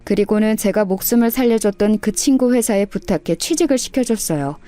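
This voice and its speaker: A woman's voice: mid-range voice, fairly quick, dark tone, soft background music. A woman reading a scripted first-person life story in a radio story style. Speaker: storyteller narrator.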